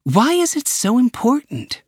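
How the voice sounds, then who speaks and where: A man voicing a calm, matter-of-fact woman government director in a storybook reading. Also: very high-pitched, a little slow, slightly rough, dry recording, studio quality, voice pushed up, heavily acted.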